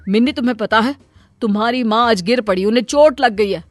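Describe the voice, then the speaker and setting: A woman voicing an exasperated, practical middle-aged father who scolds briskly in a Hindi cartoon moral story. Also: a little high, soft background music, close to an everyday voice. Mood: scolding.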